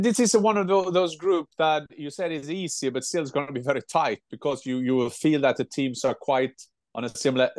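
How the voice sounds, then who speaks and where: A man in his sixties with a Norwegian accent, co-hosting a casual football chat from home over a video call, animated and given to restarting his words. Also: mid-range voice, dry recording. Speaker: football pundit and co-host. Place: home room with football shirts.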